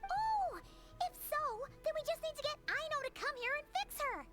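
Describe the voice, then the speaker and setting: Over loud music comes a tiny, excitable game fairy with an extremely high, squeaky child's voice. The pitch swoops about with bubbly energy. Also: female voice, brisk. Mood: excited, curious. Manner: excitable, speaks of self in third person. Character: small excitable childlike floating fairy companion. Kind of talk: anime video game voice acting.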